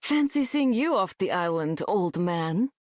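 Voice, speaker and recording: Cocky, sardonic young heroine. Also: female voice, fairly low pitch, lively intonation, measured pace, darkish tone, dry recording, clean studio sound. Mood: cocky, teasing. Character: cocky, teasing young female game heroine. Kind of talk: video game character voice lines.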